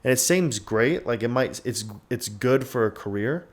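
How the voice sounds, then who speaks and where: A man's voice: mid-range voice, expressive, normal speed. Casual, swearing man in his twenties. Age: 20s. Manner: rambling, frequent "like", swears freely. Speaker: podcast host. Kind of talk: candid casual podcast talk.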